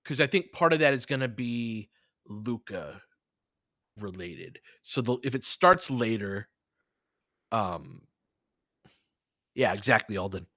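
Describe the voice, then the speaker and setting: A man with a bright, forceful voice hosts casual live sports commentary. He talks conversationally, as if straight to his listeners, moving between play-by-play and analysis. The sound is close and dry. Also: fairly low pitch, lively intonation, takes it slowly, long pauses, no room sound. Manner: conversational, chatting back to listeners, mildly profane.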